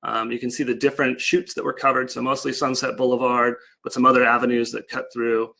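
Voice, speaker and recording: Male presenter, bright forceful tone, gravelly creak, casual explanatory delivery, informal online talk. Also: mid-range voice, little pitch movement, fast. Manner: casual, explanatory, loosely improvised. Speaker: project presenter.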